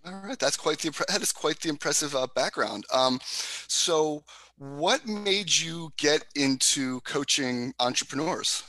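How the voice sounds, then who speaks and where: Male interviewer, bright and a bit high, dry recording, hesitant delivery. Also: a little slow, dry recording. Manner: hesitant, filler-heavy questions. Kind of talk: interview questions.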